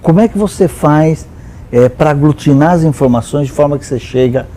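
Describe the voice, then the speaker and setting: Male interview host, mellow voice. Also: fairly high pitch, brisk. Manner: engaged, with brief backchannel affirmations. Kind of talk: interview hosting.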